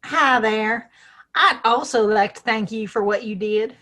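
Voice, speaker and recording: A woman's voice: a little high, unhurried, sharp tone. A woman playing a feisty, outspoken small-town woman in a western audio play, with a folksy, plain-spoken manner. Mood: indignant.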